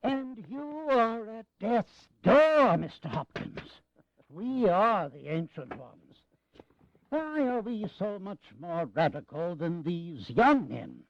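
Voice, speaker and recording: An actor playing an elderly, wry American statesman in an audio drama, speaking deliberately and sardonically. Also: high-pitched, sing-song, gravelly, no echo, dull audio, full character voice. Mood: wry, teasing.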